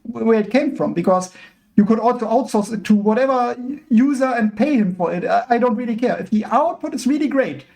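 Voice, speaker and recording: Guest voice: man, raised pitch with gravel in it, measured and searching for words. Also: lively intonation, darkish tone. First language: German. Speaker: guest, open-source developer. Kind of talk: casual podcast conversation.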